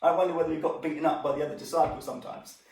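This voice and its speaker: A man teaching a Bible story to children in an informal, animated way, with asides and exclamations to his young listeners. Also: a little high, rapid-fire, gravelly. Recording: echoey room, distant microphone.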